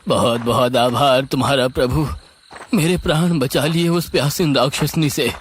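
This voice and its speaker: A man voicing a frightened, devout old villager in an animated horror story, muttering and pleading. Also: medium pitch, moderate pace, slight background noise, pitched above the performer's own voice, lightly acted. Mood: relieved, prayerful.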